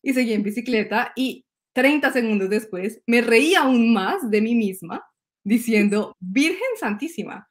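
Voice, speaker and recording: A woman's voice: fairly high pitch, animated, a little slow. A woman in her thirties from Colombia with a Colombian accent, hosting a casual spiritual video-call conversation; she tells stories animatedly, laughs often and repeats words. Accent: Colombian Spanish. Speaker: host, astrologer. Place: home room, indoors. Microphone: video call webcam.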